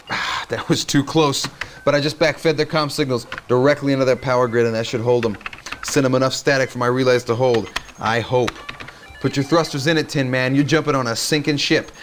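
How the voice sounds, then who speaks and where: A man's voice: medium pitch, close to an everyday voice. Man playing a harried radio operator, noisy backdrop. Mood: frazzled relief. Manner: urgent, grumbling, clipped technical patter. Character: cocky, harried young male resistance radio operator. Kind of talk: video game cutscene acting. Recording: noisy radio-room sound, clicks and whirring.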